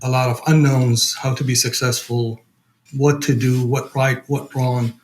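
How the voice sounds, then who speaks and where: A man's voice: medium pitch, level tone, measured pace, poor audio. A man from Iraq with an Iraqi accent, talking conversationally as a podcast guest and searching for his words. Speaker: podcast guest, program director. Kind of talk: conversational personal account.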